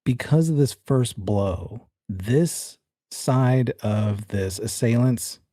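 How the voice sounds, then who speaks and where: A man's voice: mid-range voice, slow pace, warm tone, no echo, studio quality. A man narrating an improvised tabletop horror game as game master, in a casual, slangy and vivid way.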